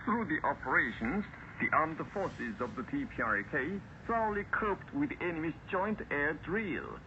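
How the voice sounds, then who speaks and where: A man reading a formal scripted news bulletin in a solemn, deliberate, declarative way as a radio announcer, heard over shortwave radio. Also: fairly high pitch, very animated. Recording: muffled, noisy shortwave radio broadcast.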